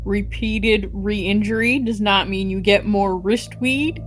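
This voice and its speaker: A woman's voice: medium pitch, steady tone, slow, noisy background. A woman playing a tabletop role-playing game, talking casually with the others at the table. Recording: music playing behind the voice. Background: background music.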